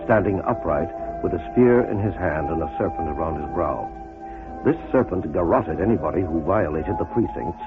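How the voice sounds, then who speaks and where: A man giving scripted documentary narration in a measured, dramatic way on a muffled old radio recording. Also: deep voice. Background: music.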